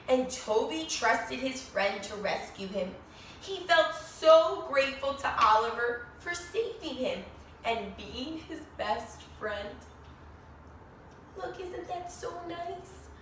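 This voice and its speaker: A woman reading a children's storybook aloud expressively, with dramatic pauses and questions to her young listeners. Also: fairly high pitch, sharp tone. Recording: echoey room, distant mic, faint noise.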